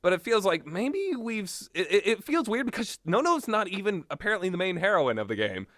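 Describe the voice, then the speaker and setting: A man's voice: high voice, very animated, brisk, a bit bright, no echo, studio quality. A man giving casual, rambling commentary with strong opinions and an emphatic way of talking. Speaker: video host.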